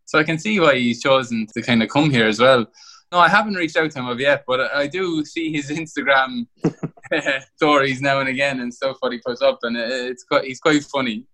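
A man's voice: medium pitch, brisk, bright, forceful tone. A young Irish man, a footballer playing abroad, talking casually in an interview and often restarting his words. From Ireland. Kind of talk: interview over a call.

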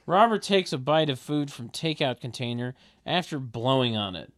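A man's voice: medium pitch, lively intonation, normal speed, a bit bright. A man reading stage directions as narrator at a comedy table read, in a wry, mock-formal manner.

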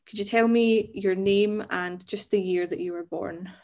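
A woman interviewing someone over a video call, asking questions politely and gently prompting. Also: mid-range voice, fairly even intonation, measured pace. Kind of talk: oral history interview.

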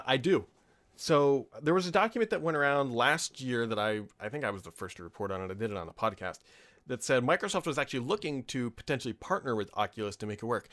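A man's voice: mid-range voice, a bit bright. A man in his thirties hosting a tech podcast from his home office, chatting casually and with strong opinions, full of ums and asides. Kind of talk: casual solo podcast chat.

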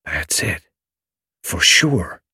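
A man voicing a confident, excitable young American adventurer with a slangy drawl, in an audiobook story. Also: deep voice, drawn-out words, breathy edge, no room sound, studio quality, full character voice. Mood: solemn, awed.

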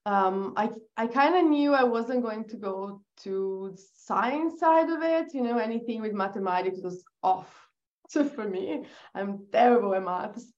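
A woman from Brazil with a Brazilian accent, a guest telling her story in a relaxed podcast interview, laughing easily and restarting her sentences. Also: a little high, measured pace, highs cut off. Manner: chatty, laughs often, restarts. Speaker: guest, agency founder.

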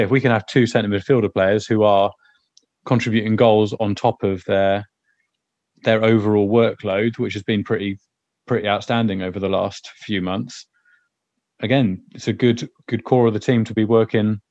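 A man with a fairly low, hoarse, croaky voice talks football in a loose, rambling way, often stopping to restart his sentences. His voice sounds dry and close, with a slightly dull, muffled tone like an online call. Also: steady tone, normal speed, darkish tone, dry recording. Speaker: podcast co-host. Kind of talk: casual football chat.

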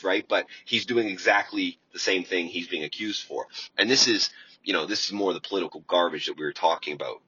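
A man's voice: deep voice, sharp tone, husky. A man giving casual, conversational political commentary on a dull, lo-fi recording.

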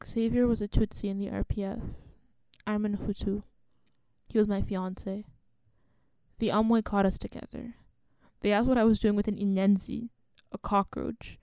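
A woman acting in a radio play as a guarded genocide survivor and refugee, speaking in a measured, grave and earnest way. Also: mid-range voice, little pitch movement, darkish tone, clear, resonant tone, dry recording, lightly acted. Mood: grave, haunted.

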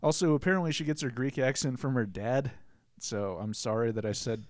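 A man co-hosting a podcast in casual chat, sarcastic and quick with asides, often laughing. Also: medium pitch, no room sound, clean studio sound. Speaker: podcast co-host.

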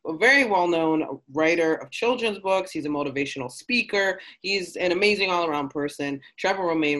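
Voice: medium pitch, a bit bright. Chatty host on a video call, laughs a lot, super friendly energy. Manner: bubbly, laughs often. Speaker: podcast host. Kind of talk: casual chat interview.

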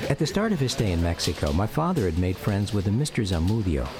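Deep, animated American male narrator. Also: fast talker. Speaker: narrator, son telling family history. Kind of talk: scripted radio documentary-drama narration. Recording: music behind the voice.

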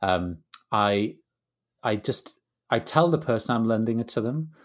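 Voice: mid-range voice, expressive, a little slow. A co-host telling a casual anecdote in a chatty, easygoing way. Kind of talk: casual chat.

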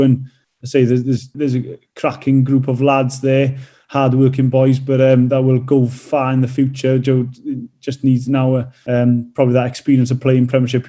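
A man's voice: mid-range voice, mellow. A Welsh man from North Wales in his thirties, a rugby player and teacher answering interview questions with many fillers and restarts.

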